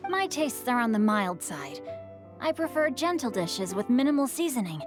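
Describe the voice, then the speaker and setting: A woman's voice: fairly high pitch, big pitch swings, normal speed, music in the background. A woman voicing a refined, playful, bookish teenage boy in a video game, with a florid, genteel manner.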